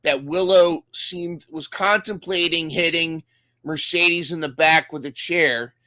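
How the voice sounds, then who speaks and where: A man's voice: on the high side, a little slow, pushed and bright, no room sound. A man co-hosting a casual recap podcast, talking enthusiastically and often restarting his sentences. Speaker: podcast co-host.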